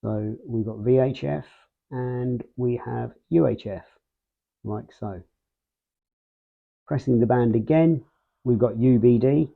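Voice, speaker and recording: A man's voice: mid-range voice, creaky, narrow, tinny audio. A man hosting a casual product review, explaining things step by step. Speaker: host of a radio gear review channel.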